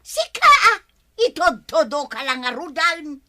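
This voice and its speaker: Cranky older village woman, Ilocano Filipino accent, very piercing high voice, drawn-out scolding, radio comedy. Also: big pitch swings, heavily acted. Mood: irritated scolding. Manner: scolding, loud, nagging. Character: quarrelsome, easily angered older village woman neighbour. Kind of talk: radio comedy drama acting.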